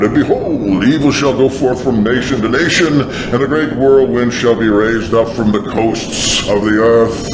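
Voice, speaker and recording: A grave voice of prophecy, performed by a man, declaims scripture of doom slowly and deliberately. The recording is echoing, with clear rumbling noise behind the solemn reading. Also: natural voice. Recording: echoing, noisy, rumbling background.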